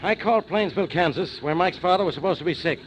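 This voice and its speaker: An actor playing an excitable police inspector in a 1940s radio drama, calling out loudly. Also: male voice, high-pitched, fast, natural voice, poor audio. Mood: excited. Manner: excited, shouting. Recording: old radio recording with faint hiss.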